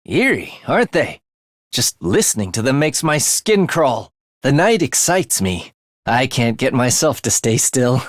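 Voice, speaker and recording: A man's voice: mid-range voice, very animated, unhurried, no echo, studio quality. A man voicing an eerie, bloodthirsty young male student in a video game, with a sinister, theatrical manner that savors morbid details. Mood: creepy, relishing. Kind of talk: video game character voice acting.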